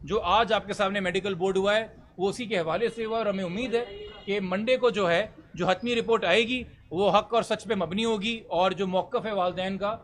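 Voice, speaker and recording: A man's voice: high voice, brisk, fairly bright tone. A Pakistani man speaking as a lawyer to reporters, articulate, formal and emphatic, mixing English legal terms into his speech. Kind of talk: press statement answering reporters' questions.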